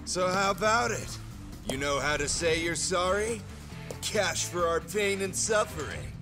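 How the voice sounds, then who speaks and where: An actor playing a cocky young male high school gang leader in an English game dub, mocking, swaggering and slangy. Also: big pitch swings, unhurried. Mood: smug, taunting. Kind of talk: English video game dub cutscene acting. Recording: music under the voice.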